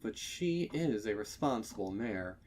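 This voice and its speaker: Guy reading a dark story real slow and dramatic, some background noise behind him. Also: lowish voice, expressive. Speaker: narrator of fan fiction readings. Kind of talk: scripted horror story narration.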